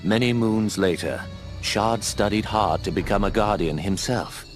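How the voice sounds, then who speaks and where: An actor playing an old male owl mentor who narrates the tale in a measured, grave manner and gives dry commands. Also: lowish voice, natural voice. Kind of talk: game cutscene voice acting. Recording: voice over loud game music.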